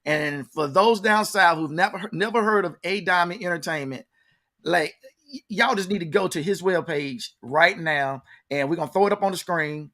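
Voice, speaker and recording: A man with a fairly high-pitched voice hosts a casual interview. He talks in a folksy, hyping way and likes to repeat words for emphasis. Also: moderate pace, pushed and bright. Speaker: interview show host. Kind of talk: casual talk-show interviewing.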